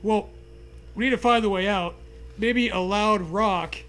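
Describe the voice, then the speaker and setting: A man's voice: high voice, unhurried, a bit bright. A man co-hosting a comic gamebook reading, with playful, dry banter between narrated passages. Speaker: co-host reading a gamebook aloud.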